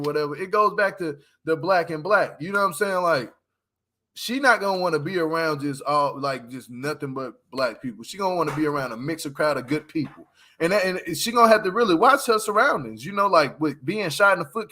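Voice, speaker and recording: A man's voice: high voice, bright, forceful tone. A man giving casual, opinionated livestream commentary as host, talking in an emphatic, repetitive, slangy way.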